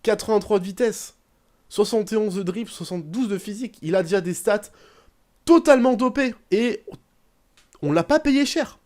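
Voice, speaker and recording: Young male gaming host, casual running commentary, chatty and excitable, a touch high-pitched. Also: normal speed. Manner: chatty, rambling, bursts into excited shouting at goals. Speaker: gaming YouTuber.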